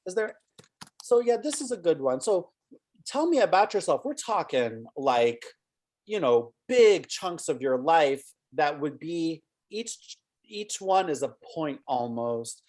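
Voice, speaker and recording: Low-voiced, animated woman coaching interviews. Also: slow pace. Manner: animated, probing, thinks aloud.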